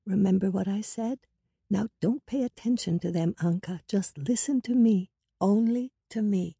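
A woman voicing a protective ten-year-old big sister, speaking gently, softly and patiently in an audiobook. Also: medium pitch, moderate pace, gravelly, slightly rough, no room sound, studio quality, voice pushed up. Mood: soothing. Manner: soft, soothing, patient.

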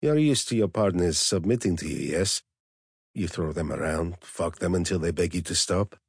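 A man voicing a suave, measured male lover with a polished English accent and dry humor. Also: sits low, husky, no echo. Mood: cool, teasing.

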